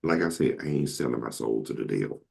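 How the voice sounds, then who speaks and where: Very deep, gravelly man. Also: lively intonation, quick pace, darkish tone. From Atlanta, Georgia. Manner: joking, slips into comic impressions. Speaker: show host. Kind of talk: casual storytelling chat.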